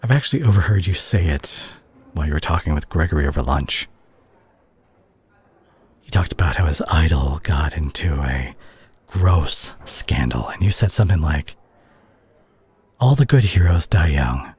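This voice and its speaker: A man acting a concerned superhero who confronts a reckless friend in a dramatic audio roleplay, speaking earnestly and haltingly with a husky, breathy voice. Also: very deep voice, expressive, a little mellow, full character voice. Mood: somber, pained.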